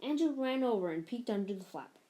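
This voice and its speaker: A woman reading a children's picture book aloud, narrating in an expressive, measured storytelling manner. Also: a little high, slight background noise, rough recording. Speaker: story reader.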